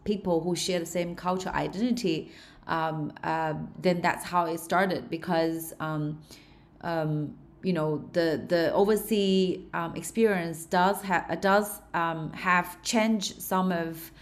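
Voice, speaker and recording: Interview guest: young woman, Australian-tinged Chinese accent, fairly low voice, pauses to search for words. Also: steady tone. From China. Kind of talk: interview answers.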